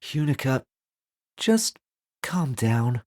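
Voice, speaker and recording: A woman acts a peacemaking witch in an audio drama. Her voice is dark and slightly low, slow but swooping in pitch as she pleads. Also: very animated, dry recording, clean studio sound, exaggerated voice. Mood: pleading. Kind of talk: audio drama character acting.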